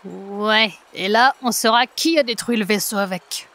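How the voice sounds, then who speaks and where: An actress playing a bossy, quick-thinking time traveller, colloquial and assertive, in a comic audio drama. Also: female voice, medium pitch, fairly bright tone.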